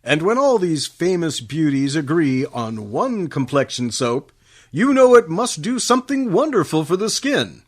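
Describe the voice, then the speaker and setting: A man reading a 1940s-style radio commercial as the announcer. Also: on the high side.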